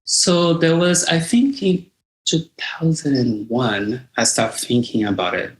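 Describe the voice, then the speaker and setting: A man's voice: mid-range voice, measured pace, rough recording. A middle-aged man from Bahia with a Brazilian accent, a native Portuguese speaker, talking reflectively as an interview guest and searching for his words. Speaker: guest singer-songwriter. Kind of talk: conversational interview.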